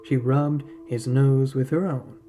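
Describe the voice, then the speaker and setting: A man narrating literary fiction as a podcast host, in a measured, dramatic reading manner. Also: medium pitch, fairly even intonation, dark tone, faint music behind.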